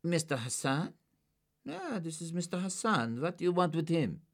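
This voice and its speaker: A man putting on a jittery high-voiced crook. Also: very animated, moderate pace. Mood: nervous. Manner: nervous, evasive, contemptuous. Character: wary small-time male counterfeiter. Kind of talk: audiobook character voice.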